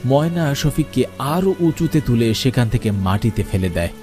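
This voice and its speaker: A man's voice: medium pitch, expressive, normal speed. A man narrating a scripted, dramatic story with music behind his voice.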